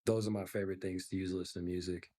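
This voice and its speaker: A man's voice: deep voice, flat delivery, very fast, mellow. A young man who is a musician, answering podcast interview questions in an easygoing, reflective way with lots of fillers. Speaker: musician guest.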